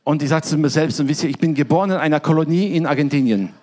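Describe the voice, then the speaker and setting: A man with a slightly high voice interprets a sermon live, line by line. He talks quickly and fluently and now and then pauses briefly to correct himself. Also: darkish tone. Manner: quick, fluent, with small hesitations and self-corrections.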